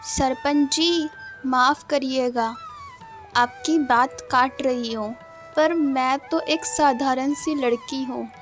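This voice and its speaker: A humble, kind-hearted village girl in an animated storybook, voiced by a woman narrator. The voice is high and unhurried. She speaks modestly, with a pleading edge. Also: faint music behind. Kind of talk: cartoon storybook voice acting.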